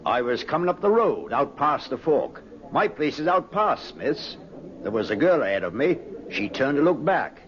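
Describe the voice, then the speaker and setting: An actor playing a plain-spoken, folksy country villager in an old-time radio drama. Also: male voice, fairly high pitch, sing-song, normal speed. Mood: earnest. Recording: muffled old radio recording.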